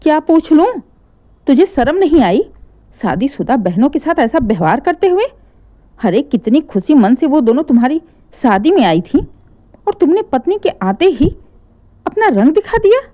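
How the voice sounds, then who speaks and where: A woman voicing an angry middle-aged mother who scolds and shouts at her son. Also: on the high side, very animated, a little slow, pauses often. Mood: furious.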